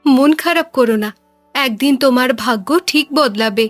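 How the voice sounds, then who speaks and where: A woman plays a gentle village wife in a cartoon. Her voice is high and caring, with soft music behind it. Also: moderate pace, natural voice. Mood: consoling. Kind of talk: cartoon voice acting.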